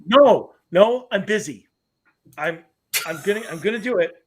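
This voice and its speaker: Higher-voiced playful man on a video call. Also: animated, fairly bright tone. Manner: playful, quick to laugh, drifts into a sing-song voice. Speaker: podcast co-host. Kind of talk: casual chat, reading a listener story aloud. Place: room at home. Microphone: webcam call from home.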